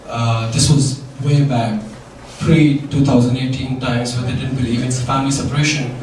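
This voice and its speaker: A man's voice: medium pitch, flat delivery, normal speed, a little mellow, slight background noise. A young man from India telling a wry, funny personal story to a live audience. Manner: wry, dry punchlines with audience laughter. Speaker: storyteller on stage. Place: live event venue. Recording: echoey hall, mic from far. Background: audience laughter.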